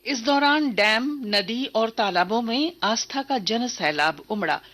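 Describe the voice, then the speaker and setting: Husky-edged woman reading radio news. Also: fairly high pitch, expressive, fairly bright tone. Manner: formal, measured delivery. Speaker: news reader. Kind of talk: scripted radio news bulletin.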